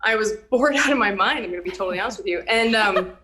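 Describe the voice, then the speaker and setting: Bright-voiced Minnesotan woman on a video call. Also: mid-range voice, fast talker. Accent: light Minnesotan. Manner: chatty, laughs often. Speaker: hairstylist and TikTok creator, guest. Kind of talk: casual chat.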